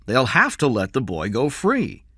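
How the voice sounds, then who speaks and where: A man voicing a confident, sharp-spoken wealthy father in an audiobook thriller, assertive and brusque. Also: medium pitch, huge pitch swings, measured pace, no echo, clean studio sound, pitched above the performer's own voice, heavily acted. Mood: brusque, assured.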